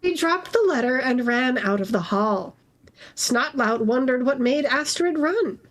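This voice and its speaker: A woman with a high voice reads a story aloud in a casual live setting. Her intonation moves up and down a lot, and she slips easily between narration and chatty asides. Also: expressive, unhurried, slight background noise. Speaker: reader-narrator on a fanfiction reading stream.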